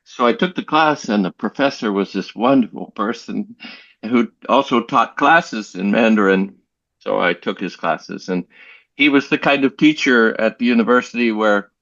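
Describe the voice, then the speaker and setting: A man speaks in a relaxed, rambling way, often restarting his sentences. His voice sits in a middle pitch. The call audio is dull and dry, with no room sound. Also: dry recording. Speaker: interview guest, language learner. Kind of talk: casual conversation. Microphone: video call.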